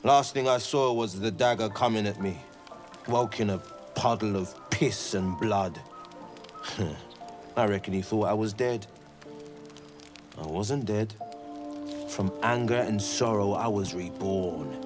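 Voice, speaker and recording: A vengeful young monster hunter from a fantasy video game. He speaks slowly and theatrically, brooding one moment and grandly heroic the next. A prominent musical score plays behind his voice. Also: fairly low pitch. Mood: bitter, brooding. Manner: theatrical, declamatory. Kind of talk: dramatic video game dialogue acting. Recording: loud music bed behind the voice.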